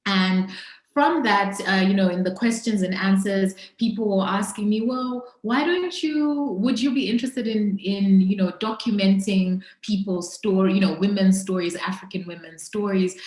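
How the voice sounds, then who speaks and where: A woman with a Zambian accent telling her personal story as an interview guest, in a reflective, halting way with pauses mid-thought. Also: medium pitch, darkish tone. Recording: roomy, echoing sound.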